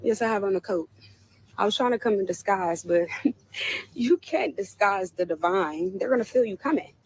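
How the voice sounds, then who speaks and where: A woman's voice: mid-range voice, lively intonation, normal speed, husky. A woman in a played clip, talking casually to the camera in a defiant, preachy manner about faith and spirits.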